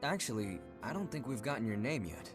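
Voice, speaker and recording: An actor playing a calm, thoughtful young male leader in a video game, with a measured, composed delivery. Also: mid-range voice, music in the background, lightly acted. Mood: composed, welcoming. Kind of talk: English video game dub acting.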